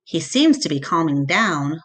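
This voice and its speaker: A woman's voice: sits low, big pitch swings, a little slow. A woman voicing a weary, skeptical father in a storybook read-aloud, with a tired, dismissive manner.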